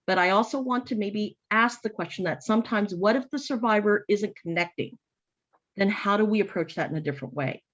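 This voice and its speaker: A woman's voice: mid-range voice, fairly quick, fairly bright tone, muffled recording. A woman speaking earnestly in a panel discussion on advocacy work, often restarting her sentences as she thinks. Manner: earnest, thoughtful, with frequent restarts.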